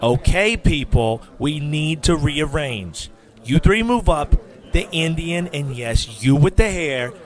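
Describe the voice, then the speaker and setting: A man playing a fussy, bossy photographer in an audio drama, giving halting instructions. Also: a little high, expressive. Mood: fussy, directing. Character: fussy, bossy male photographer directing a group.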